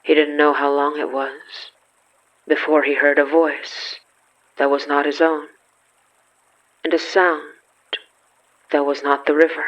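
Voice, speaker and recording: A man reading scripted horror fiction as a narrator, solemn and measured with dramatic pauses. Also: on the high side, flat delivery, fairly bright tone, muffled recording.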